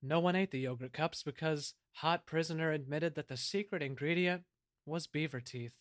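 A man's voice: on the high side, moderate pace, dry recording, studio quality. A man reading scripted narration for an audio drama in a dramatic storytelling style.

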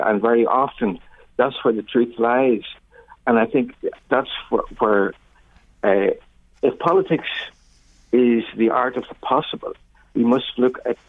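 Older Northern Irish male guest, husky and creaky, thoughtful halting delivery down a thin phone line. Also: medium pitch, expressive, no echo. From Northern Ireland. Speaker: interview guest. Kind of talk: reflective radio interview talk. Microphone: telephone.

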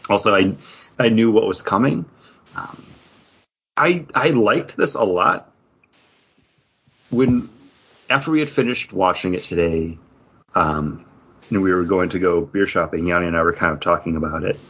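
Low, husky man, muffled recording. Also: moderate pace, pauses often, a bit bright. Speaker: podcast co-host. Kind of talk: casual movie and TV review chat. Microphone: internet voice call. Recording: muffled, dull voice-call audio.

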